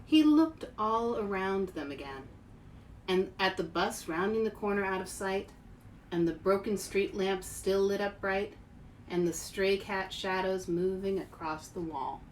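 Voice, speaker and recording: A woman hosting a children's read-aloud, expressive and speaking directly to young listeners. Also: medium pitch, unhurried, low-quality recording. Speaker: author and show host. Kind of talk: children's picture book read-aloud with casual asides. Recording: faint background noise.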